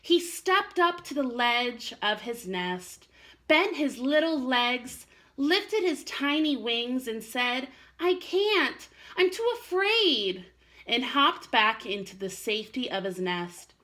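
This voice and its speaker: A woman voicing a sweet, patient mother raccoon who gently explains things in a picture book. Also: sits high, big pitch swings, slow, voice pushed up, heavily acted. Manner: gentle explaining in storytelling phrases. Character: sweet, patient mother raccoon telling her cub a tale.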